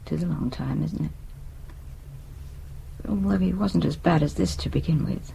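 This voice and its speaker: A woman's voice: sits low, normal speed, vocal fry, warm tone, slight background noise, low-quality recording. An actress playing a resigned, anxious middle-aged British wife and mother in a naturalistic radio drama, hesitant and wistful.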